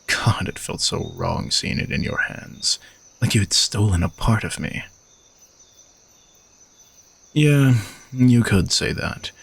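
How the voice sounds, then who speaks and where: A man's voice: lowish voice, expressive, takes it slowly, vocal fry, pauses often, a bit bright, breathy edge, full character voice. A man playing an arrogant, sardonic knight who is a reluctant royal bodyguard, teasing and condescending in a romantic audio roleplay. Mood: teasing, smug. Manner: teasing, dry, exasperated sighs, condescending.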